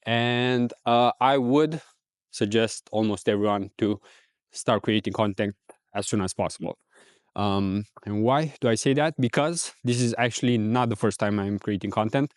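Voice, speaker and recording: A man from Romania with a Romanian accent, talking casually in a reflective, rambling vlog with many pauses and fillers. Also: on the low side, no echo, clean studio sound. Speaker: ecommerce vlogger.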